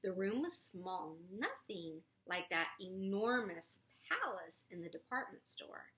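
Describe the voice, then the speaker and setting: Animated woman reading a storybook, unhurried. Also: medium pitch. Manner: animated, playful, often drops to whispers. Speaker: storybook reader. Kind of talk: expressive read-aloud to a young child with chatty asides.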